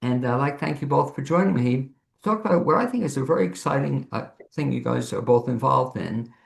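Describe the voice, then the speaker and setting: Gravelly man hosting an echoey interview. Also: mid-range voice, animated, a little fast. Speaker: interview show host. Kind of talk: conversational interviewing over video call. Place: home office studio, on a video call. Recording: echoey room over a video call.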